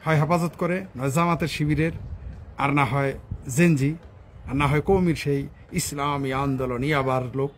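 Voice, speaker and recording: High voiced bangladeshi guy doing an angry scornful rant, swears a lot, bit of noise in the background. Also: fairly even intonation, normal speed. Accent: Bangladeshi Bengali. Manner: combative, scornful, swearing freely, rhetorical questions. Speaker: political commentator. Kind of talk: informal political rant to camera.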